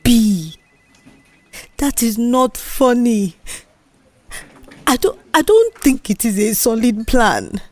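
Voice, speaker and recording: A woman's voice: fairly high pitch, very animated, takes it slowly, long pauses, darkish tone, no echo, faint music behind, pitched above the performer's own voice, exaggerated voice. A woman playing an anxious, easily alarmed young office worker with a Nigerian accent and a hesitant, faltering delivery, in an audio drama. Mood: uneasy. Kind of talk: audio drama character dialogue.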